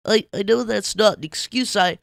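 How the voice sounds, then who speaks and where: High, stammering, anxious cartoon sponge. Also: male voice, normal speed, creaky, fairly bright tone, dry recording, clean studio sound. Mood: guilty, evasive. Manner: stammering, apologetic, whimpering. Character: eager, anxious, lovestruck young cartoon sponge. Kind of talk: dramatic fan fiction reading.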